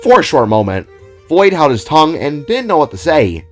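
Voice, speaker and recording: A man giving expressive scripted narration as the storyteller of an audio drama. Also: on the high side, moderate pace. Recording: music behind the voice.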